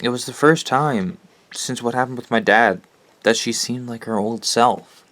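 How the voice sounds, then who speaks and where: A man's voice: medium pitch, a little slow, fairly bright tone, no echo, lightly acted. An actor playing an earnest, loyal, troubled teenage boy with a sincere, plain-spoken way of talking in an audio drama. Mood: reflective, uneasy. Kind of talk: conversational audio drama acting.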